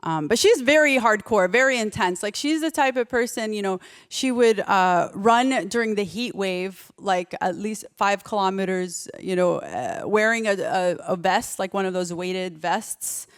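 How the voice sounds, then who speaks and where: A woman's voice: medium pitch, measured pace, hardly any pauses, smooth. A woman performing stand-up comedy in a conversational, self-interrupting way, slipping into quoted remarks. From United States.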